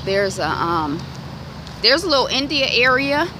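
A woman in her thirties with a Detroit accent, talking to her phone camera while walking down a residential street. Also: unhurried. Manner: animated, acts out quoted voices with big pitch jumps. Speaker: vlogger. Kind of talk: walk-and-talk vlog chat. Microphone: phone held at arm's length. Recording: outdoor noise from street traffic.

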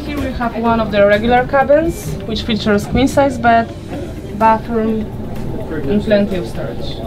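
A young woman presenting a walkthrough tour, listing features item by item in a measured way. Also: on the high side, low-quality recording. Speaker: yacht company representative giving a tour. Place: inside a catamaran's saloon and cabins at a boat show. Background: music.

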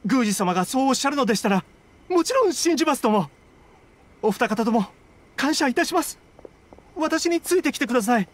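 High-voiced, anxious young swordsman. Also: very animated, a little fast, pauses often, a little hiss or hum. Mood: hesitant. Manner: formal, polite, hesitant speech. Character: earnest, anxious young swordsman, pleading and deferential. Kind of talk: voiced game dialogue. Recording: game music behind the voice.

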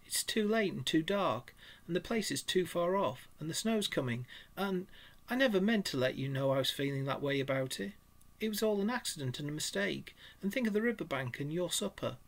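A man's voice: a little high, expressive, a little fast, voice pushed up. A man voicing a timid, homesick male mole who pleads in broken sobs in a storybook reading. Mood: pleading.

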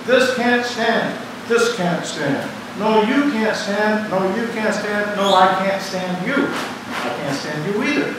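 A man's voice: high-pitched, animated, takes it slowly, few pauses. Older man preaching in an echoey church. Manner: dramatic, acts out imagined dialogue, rises to fervent exhortation. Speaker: bishop preaching. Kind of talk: sermon. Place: church sanctuary before the altar. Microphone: distant camera mic. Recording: echoey church from far off, background noise.

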